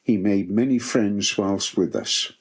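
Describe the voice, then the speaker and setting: A man reading formal condolence letters as a solemn British wartime dignitary, in a measured manner. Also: lowish voice, breathy edge, exaggerated voice. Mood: solemn, sympathetic. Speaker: voice reader of letters.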